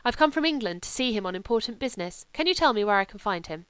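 A woman's voice: mid-range voice, expressive, fast talker, fairly bright tone, clear, resonant tone, dry recording, natural voice. A woman doing a formal young Englishman. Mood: firm. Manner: formal and insistent. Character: polite, firm young Englishman on business.

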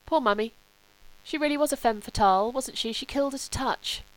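A woman's voice: high-pitched, brisk, fairly bright tone, dry recording, voice pushed up, low-quality recording. A woman voicing a frail, languid young upper-class Englishman in an audiobook, with a wistful manner. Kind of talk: audiobook character reading.

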